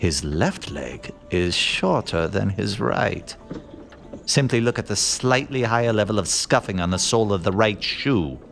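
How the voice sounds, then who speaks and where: An actor playing an aloof, pompous gentleman detective in a radio play, speaking in measured, theatrical phrases. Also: on the low side, expressive, gravelly, full character voice. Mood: haughty. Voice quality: haughty, measured, theatrical pauses. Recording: faint music behind.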